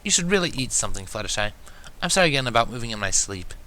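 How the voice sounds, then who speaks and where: A man voicing a kindly, reassuring female unicorn pony with a gentle, questioning manner in a story reading. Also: mid-range voice, very animated, very fast. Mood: hesitant concern. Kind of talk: fan fiction storybook reading.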